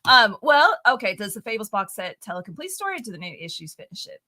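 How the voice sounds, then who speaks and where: A woman's voice: medium pitch, very animated, bright, forceful tone. A woman co-hosting a live comics talk stream, chatting playfully and laughing often. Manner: giggly, playful, quick to laugh. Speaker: co-host of a comics show. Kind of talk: casual live-stream chat.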